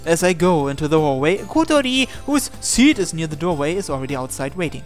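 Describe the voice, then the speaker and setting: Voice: mid-range voice, big pitch swings, brisk. A person reading visual novel text aloud for a let's play, acting out the dialogue expressively. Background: game music.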